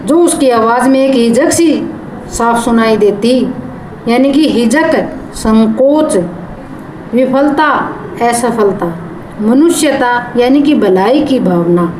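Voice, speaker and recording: Woman teacher, unhurried, keeps rephrasing and glossing words, echoey room with background noise. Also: fairly high pitch. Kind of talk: explaining a poem line by line.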